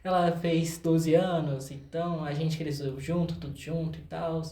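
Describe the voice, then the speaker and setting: A man's voice: a little high, fairly even intonation, moderate pace, dark tone. A young man with a Brazilian accent chatting casually as a guest, often repeating words and short phrases in a row. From São Paulo state, Brazil. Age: young adult. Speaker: podcast guest, singer and songwriter. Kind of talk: casual chat. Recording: echoey room.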